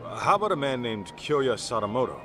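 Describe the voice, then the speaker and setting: A courteous but persistent investigator in a video game, his ordinary-pitched voice rising and falling a lot as he presses strangers for answers. Game music runs behind him. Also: sing-song, normal speed, a bit bright. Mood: earnest. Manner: polite, persistent questioning. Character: man investigating, questioning people at an event. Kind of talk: game dub acting.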